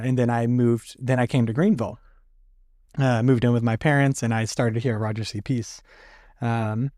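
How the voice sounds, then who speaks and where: A man's voice: medium pitch, darkish tone, dry recording, studio quality. A young man, a podcast guest, telling his story candidly with many fillers and some laughs. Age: young adult. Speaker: podcast guest, stroke survivor. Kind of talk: casual conversation.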